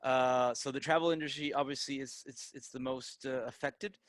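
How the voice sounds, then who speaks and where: A man's voice: medium pitch, fairly even intonation, normal speed, no echo. A man from Peru raised in the United States, with an American accent, gives an informal online presentation and often checks in with "right?". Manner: frequently tags statements with "right?". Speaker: guest presenter, digital marketer.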